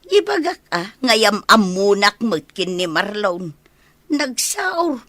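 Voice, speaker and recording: Animated, nosy village mother. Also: mid-range voice, slow, no echo, full character voice. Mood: nosy, suspicious. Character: middle-aged village mother, nosy, probing, bossy. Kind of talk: radio comedy drama acting.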